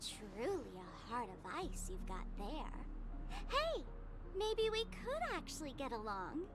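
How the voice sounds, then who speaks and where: A woman's voice: high-pitched, huge pitch swings, takes it slowly, very noisy, exaggerated voice. An actress voicing a mischievous, taunting young trickster woman in a video game, teasing, sly and theatrical. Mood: sly, playful. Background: game music.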